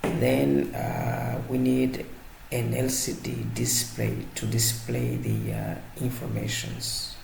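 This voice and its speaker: A man giving a casual, unscripted hands-on tutorial as presenter, halting as he searches for words and repeats himself. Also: medium pitch, wildly swooping pitch, vocal fry. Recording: roomy echo, faint background noise.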